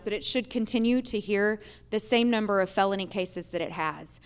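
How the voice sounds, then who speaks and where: Woman candidate at a public forum. Smooth, resonant voice, measured formal delivery, emphatic on key points. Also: medium pitch, a bit bright. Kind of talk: prepared political forum answers.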